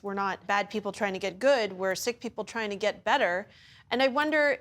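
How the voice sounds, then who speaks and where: A woman news correspondent reading a scripted report and interviewing a guest in a measured, even way. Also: mid-range voice.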